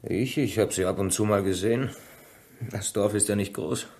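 A man's voice: fairly low pitch, moderate pace, darkish tone, lightly acted. An actor in a German radio play, playing a bitter, evasive young village man with a crippled leg, curt and defensive.

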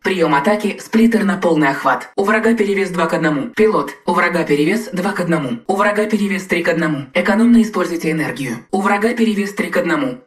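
An actress voicing a female combat robot AI in a dubbed game, giving even, clipped military reports. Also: fairly low pitch, fast talker, hardly any pauses, lightly acted. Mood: calm.

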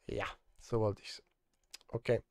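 A man in his thirties chatting casually on a live stream while he works, rambling and muttering to himself in a self-deprecating way. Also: slow pace. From Switzerland. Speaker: live streamer and film composer. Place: indoor desk at a streaming setup.

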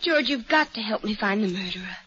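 A woman's voice: medium pitch, animated, a little fast, fairly bright tone, close to an everyday voice. An actress playing an earnest young woman pleading for her father's innocence in a 1940s radio drama. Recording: dull lo-fi vintage radio broadcast.

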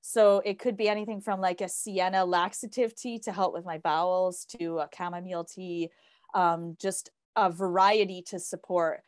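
A woman's voice: fairly low pitch, fairly even intonation, normal speed, clean tone, no room sound, studio quality. A woman co-hosting a podcast as a health coach, speaking in casual conversation.

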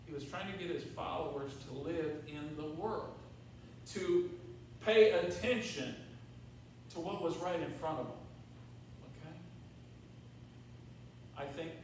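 An older American man preaching a sermon as a pastor, conversational, with repeated phrases and emphatic pauses. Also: high-pitched, a bit bright. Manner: conversational, rhetorical questions with check-ins to congregation. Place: large church sanctuary, at pulpit. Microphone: far camera in the room. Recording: very echoey church, distant mic, faint noise.

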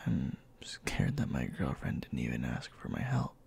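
A man playing a gruff, worried and tender young boyfriend in an ASMR roleplay, speaking softly and haltingly in a breathy, gravelly half-whisper. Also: a bass voice, very animated, exaggerated voice. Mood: anxious, tender. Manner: soft, halting, intimate.

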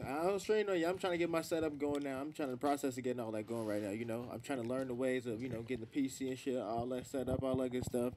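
Young male podcast guest, relaxed slangy delivery, mid voice, faint hiss. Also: mid-range voice, fast talker, few pauses. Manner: laid-back, loose slang, storytelling. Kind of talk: casual group chat. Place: living room with large couch. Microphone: handheld mic.

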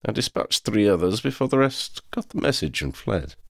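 A man's voice: fairly low pitch, very animated, moderate pace, vocal fry, no echo, studio quality, voice pushed up. A man voicing a proud, lordly talking male cat in a storybook, with pompous, formal wording. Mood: sombre confession. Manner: pompous, formal wording with Scottish turns of phrase.

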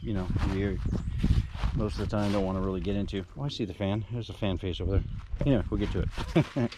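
A man's voice: lowish voice, quick pace. Guy chatting loosely over his storage unit finds outside, little jokey asides, outdoor noise all around. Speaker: storage unit reseller vlogger. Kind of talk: casual walkthrough commentary. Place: outdoors on a rural lawn.

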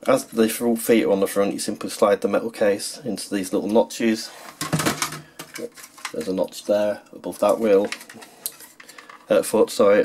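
A man's voice: on the low side, darkish tone, a little hiss or hum. A man narrating a hands-on how-to as a tutorial host, explaining plainly step by step.

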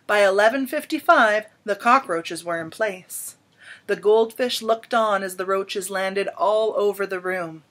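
A woman in her thirties reading a children's picture book aloud in an expressive, dramatic storytelling manner with emphatic pauses. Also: mid-range voice. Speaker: storybook reader. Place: small indoor room at home.

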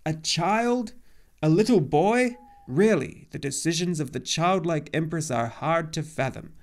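A man's voice: mid-range voice, normal speed, darkish tone, natural voice. A man voicing a very old, grave centaur in an audiobook story, with an emphatic, exclamatory manner. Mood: shocked disbelief. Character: very old agitated centaur messenger, grave and weary.

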